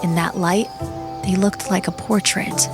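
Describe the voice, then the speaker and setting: A woman narrating a dramatic scripted story in a solemn, measured manner. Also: lowish voice, fairly even intonation, loud music behind the voice.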